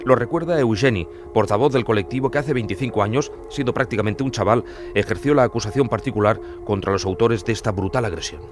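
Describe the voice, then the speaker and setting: A man's voice: lowish voice, quick pace, over music. A man hosting a podcast with scripted documentary narration.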